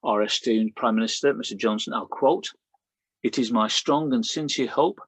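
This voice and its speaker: Husky Lancashire man reading poems. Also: on the low side, no room sound, rough recording. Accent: Lancashire English. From Lancashire, England. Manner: rhythmic, emphatic reading with chatty asides and fillers. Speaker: poet. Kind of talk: poetry reading with spoken introductions.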